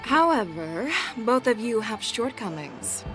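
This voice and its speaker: A woman's voice: on the high side, sing-song, unhurried, rough recording. An actress voicing a confident, playful woman general in a video game, teasing and self-assured, with music behind.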